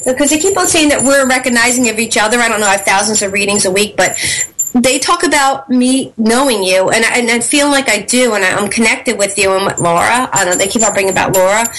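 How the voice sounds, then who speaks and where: A woman's voice: on the high side, fast, fairly bright tone, a little hiss or hum, poor audio. Chatty, rambling woman psychic host. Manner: rambling and emphatic, with many fillers and restarts; blunt, casual swearing. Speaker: psychic medium hosting a call-in show. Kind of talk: casual live online radio talk.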